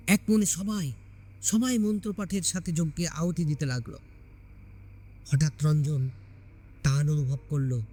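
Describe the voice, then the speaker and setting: Higher-pitched man narrating a ghost story. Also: dark tone.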